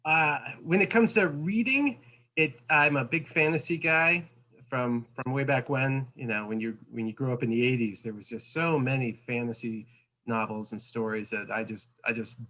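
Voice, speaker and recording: A man in his forties, an author being interviewed, talking in a relaxed, rambling way. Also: medium pitch, moderate pace, rough recording. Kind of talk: casual video chat.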